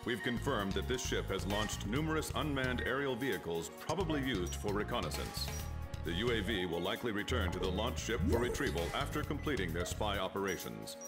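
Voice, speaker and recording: An authoritative military officer in a video game briefing. His voice is fairly low with lively inflection. He speaks formally over loud music. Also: brisk. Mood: formal, urgent.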